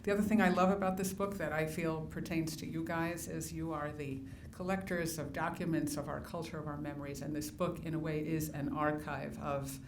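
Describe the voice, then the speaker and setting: A woman's voice: low-pitched, fairly even intonation, a little fast, hardly any pauses. A woman host earnestly reading a prepared introduction of an author to an audience. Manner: earnest, reads out praise. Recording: echoey room, distant mic, audible noise.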